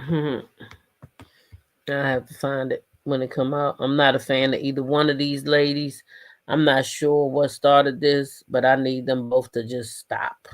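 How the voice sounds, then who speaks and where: A woman's voice: a bass voice, flat delivery, measured pace. An American woman doing casual live gossip commentary as host, sassy and emphatic, often repeating phrases for emphasis.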